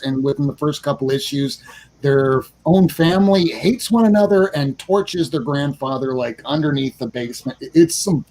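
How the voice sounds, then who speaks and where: A man's voice: fairly high pitch, a little mellow, rough recording. A man in his forties in casual podcast chat, rambling enthusiastically about comics with mild swearing. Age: 40s. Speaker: podcast co-host.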